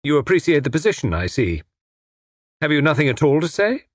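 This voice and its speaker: Storybook villain, male reader in role: educated foreign spymaster, smug, courteous, menacing, husky with swooping pitch. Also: very animated, a little fast, no room sound, studio quality. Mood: mockingly polite. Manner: mockingly polite, formal, snarling when crossed. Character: suave, menacing well-educated foreign spy posing as inspector.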